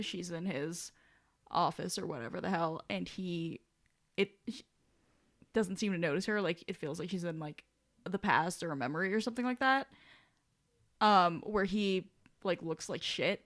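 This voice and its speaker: A woman's voice: fairly low pitch, a bit bright. A woman co-hosting a casual podcast discussion, thinking aloud with hesitant, thoughtful phrasing. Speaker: podcast co-host.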